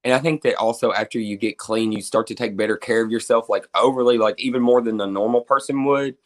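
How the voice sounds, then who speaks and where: A man's voice: medium pitch, steady tone, fast. A man in his twenties with a Kentucky accent, a guest talking openly about his life in a casual interview. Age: 20s. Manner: open and talkative, with frequent fillers.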